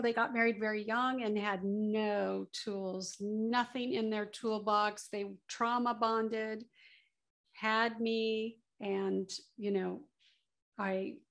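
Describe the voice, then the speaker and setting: A woman's voice: medium pitch, level tone, slow. A woman from Southern California, a guest in an interview, talking candidly and chattily with many fillers. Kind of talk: casual interview chat.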